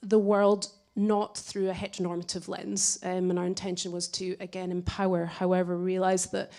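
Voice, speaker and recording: A woman's voice: on the low side, little pitch movement, moderate pace, dark tone. A woman moderating a live panel discussion, polite and hesitant, with many fillers as she talks. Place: gallery event space.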